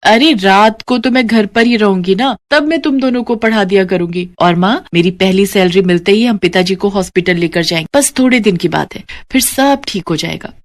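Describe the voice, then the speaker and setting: A woman's voice: mid-range voice, normal speed, hardly any pauses, close to an everyday voice. Earnest young poor girl looking for work, done by a woman narrator, polite n deferential, cartoon story. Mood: reassuring. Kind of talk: acted dialogue in a Hindi cartoon story.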